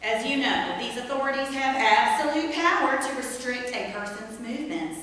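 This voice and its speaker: A woman's voice: a little high, moderate pace, a bit bright, natural voice. A woman playing a gleefully cruel, officious head librarian in a theatrical satirical reading, prim and official with muttered malicious asides. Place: live staged reading in a reverberant room. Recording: echoey hall from far off, faint noise.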